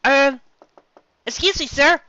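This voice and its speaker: A man's voice: very high voice, slow, bright, forceful tone, no room sound, dull audio, voice pushed up, heavily acted. A man voicing a polite, hesitant young female pony in a storybook reading. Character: studious young unicorn mare, polite and hesitant.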